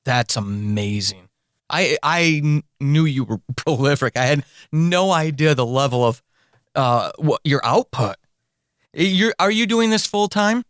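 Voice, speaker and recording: A man's voice: fairly high pitch, lively intonation, a little slow, a bit bright, no echo, clean studio sound. A man hosting a podcast interview, speaking in an effusive, emphatic, enthusiastic way.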